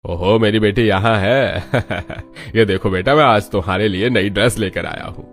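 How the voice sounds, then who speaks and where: A man's voice: fairly low pitch, normal speed, soft background music, close to an everyday voice. A man voicing a doting, indulgent father in a cartoon story, speaking affectionately. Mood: cheerful, doting. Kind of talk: Hindi cartoon moral story acting.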